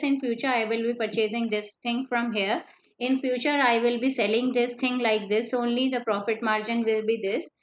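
Woman teacher on a dull online call. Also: fairly high pitch. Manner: explains step by step, repeats key points, asks "right?". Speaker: accountancy teacher. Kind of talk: online class lecture. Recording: slightly dull lo-fi online class audio with faint noise.

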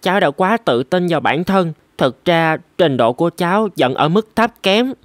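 Man playing high-voiced, earnest young man. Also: expressive, normal speed, no room sound, clean studio sound, close to an everyday voice. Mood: discouraged. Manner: polite, earnest, humble. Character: humble, earnest young male violinist. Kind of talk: animated cartoon dialogue.

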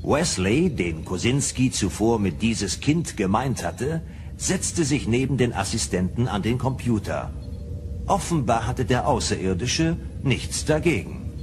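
A man's voice: mid-range voice, sing-song, a little slow, background noise. A man reading scripted narration as the storyteller of an audio drama. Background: loud music.

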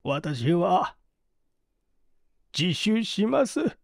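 A man voicing a penitent old man in his sixties, halting and solemn, with old-fashioned formal speech, in an audiobook drama. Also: high voice, big pitch swings, vocal fry, no room sound, studio quality, exaggerated voice. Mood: remorseful. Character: anguished, penitent old man over sixty, doting father.

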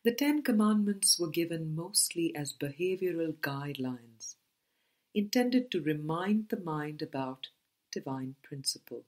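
A woman reading a spiritual book aloud as scripted narration, in a measured, deliberate manner with pauses between phrases. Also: sits low, lively intonation, a little mellow. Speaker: audiobook reader.

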